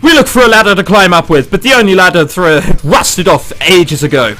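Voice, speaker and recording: A man's voice: high-pitched, a little fast, bright, forceful tone. A man reading a visual novel aloud for a let's play, breaking off into incredulous, sweary commentary. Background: game background music.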